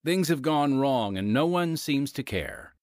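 A man narrating a scripted radio program with solemn, measured emphasis. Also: medium pitch, expressive, no room sound, studio quality.

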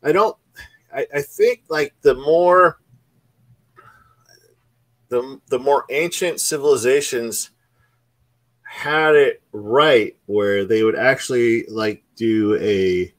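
A man's voice: mid-range voice, slow, pauses often, no room sound, low-quality recording. A man in his forties from Oregon, co-hosting a casual book club chat over a video call, talking in earnest, rambling runs with many restarts. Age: 40s. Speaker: book club podcast co-host. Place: small home room. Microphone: studio mic on stand with headphones.

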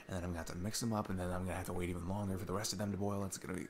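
A man's voice: low-pitched, little pitch movement, very fast, slight background noise. A man playing a sweet, nervous single dad in an intimate ASMR roleplay, rambling and hesitant in a casual, self-deprecating way. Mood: playful rambling.

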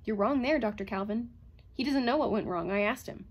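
A woman's voice: on the high side, brisk, lightly acted. A senior man of science in an audiobook story, read by a woman. He is stiff and formal, with an anxious, guarded temper. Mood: toneless, firm.

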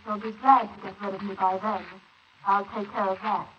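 An actress playing a hard, scheming, impatient young woman in a 1940s radio play, brisk, scornful and coaxing. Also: mid-range voice, little pitch movement, close to an everyday voice. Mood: cold, scheming. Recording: muffled, hissy 1940s wire recording.

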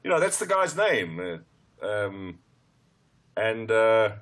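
A man's voice: lowish voice, very animated, slow, fairly bright tone. A man speaking as a football expert on a radio show, hesitant and thinking aloud. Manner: hesitant, many fillers and restarts. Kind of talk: radio discussion.

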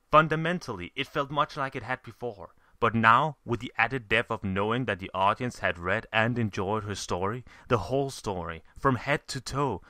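A man narrating a story from a script in a measured, theatrical way. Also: medium pitch, pushed and bright, no echo, clean studio sound. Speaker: fan fiction reader, narrator.

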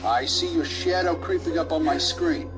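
A watchful, uneasy man at the security monitors, in a slightly high voice. It is audio drama acting with music clearly behind. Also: sing-song, moderate pace. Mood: unnerved. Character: watchful, uneasy male camera operator. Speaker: security camera operator.

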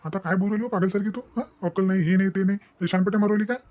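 Cartoon young village husband, played by a man: high voice, extremely fast, blunt, exasperated, rural Vidarbha Marathi accent. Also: a little mellow, no echo, dull audio, close to an everyday voice. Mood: irritated. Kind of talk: Marathi cartoon family-drama dialogue.

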